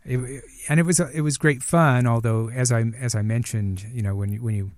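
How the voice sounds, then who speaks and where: Male interviewer, middle-aged, medium pitch, measured and hesitant conversational delivery, very little room sound. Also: no room sound. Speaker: radio interview host.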